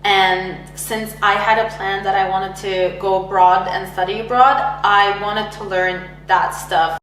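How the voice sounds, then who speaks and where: A woman's voice: medium pitch, fairly even intonation, a little slow, fairly bright tone, faint music behind. A young woman from Egypt talking casually in an interview, with light laughs and lots of filler words. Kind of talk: casual oral history interview. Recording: roomy echo, distant mic, faint hum.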